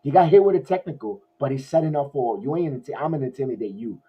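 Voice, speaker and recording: A hoarse man hosts a loose post-game sports show, rambling through the numbers with plenty of restarts. His husky voice comes through a dull, lo-fi recording. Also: medium pitch, fast. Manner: rambling, many restarts, excited fan. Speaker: podcast host. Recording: dull lo-fi audio.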